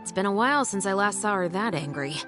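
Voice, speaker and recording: A woman's voice: medium pitch, animated, fast talker, over music, lightly acted. An actress playing a stern young woman officer who speaks in a level, dryly teasing way.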